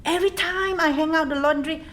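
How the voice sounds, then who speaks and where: A woman's voice: very high-pitched, fairly even intonation, fast talker, fairly bright tone, slight background noise. A woman acting an outspoken, teasing Singaporean neighbour in a radio play, blunt and given to exaggeration. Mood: teasing, dramatic.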